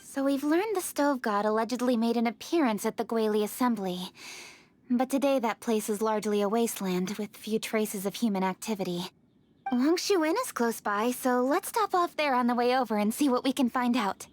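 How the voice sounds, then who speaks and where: A woman playing a composed, businesslike young noblewoman official in a video game, formal and brisk. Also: fairly high pitch, animated, slight background noise, lightly acted.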